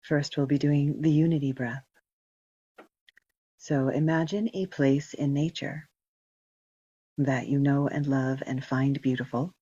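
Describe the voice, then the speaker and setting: A woman leading a guided meditation, giving slow, gentle instructions with long pauses in a soft, breathy voice. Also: rumbling low, dry recording. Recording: dull, muffled lo-fi recording.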